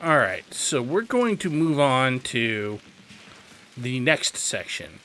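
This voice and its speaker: A man's voice: mid-range voice, very animated, slow pace, pushed and bright, slight background noise. A man giving a casual, instructive video game tutorial in an opinionated, emphatic way. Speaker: video game guide host.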